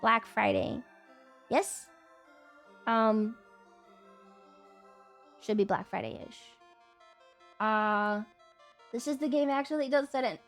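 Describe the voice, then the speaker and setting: A high-voiced woman sounds stuffed up from a cold as she rambles through a casual stream. She slides into whiny, playful protests, and soft music plays faintly behind her home desk mic. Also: steady tone, slow pace, long pauses. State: congested with a cold. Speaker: livestreamer.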